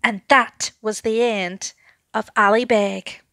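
A woman narrating a children's story with an expressive, deliberate, dramatic delivery. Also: mid-range voice, no room sound, studio quality.

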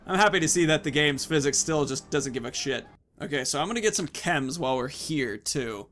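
A man's voice: on the high side, lively intonation, fairly quick, a bit bright, slight background noise. A man in his thirties giving casual let's play commentary, thinking aloud as he explains his choices. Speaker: gaming video host. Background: game music.

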